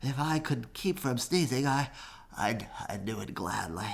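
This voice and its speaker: A woman's voice: very deep voice, lively intonation, creaky, heavily acted. A woman voicing an apologetic, sad storybook elephant who stammers and hesitates. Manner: stammering, halting.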